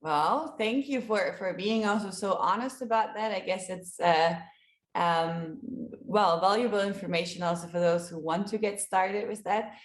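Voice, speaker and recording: A woman's voice: medium pitch, moderate pace. Woman moderator in an echoey room. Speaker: panel moderator. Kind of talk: live conference panel moderating.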